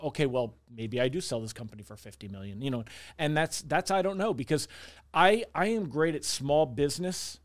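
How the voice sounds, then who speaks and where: A man in his forties speaking candidly as an interview guest, talkative and self-reflective, often repeating words as he thinks. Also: fairly high pitch. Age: 40s. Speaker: interview guest, small business owner.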